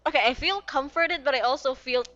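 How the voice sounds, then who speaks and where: Female gaming creator: bright, expressive, gushing reactions, slightly dull lo-fi mic. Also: high-pitched, brisk. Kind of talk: casual game reaction commentary. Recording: dull lo-fi mic, faint game music.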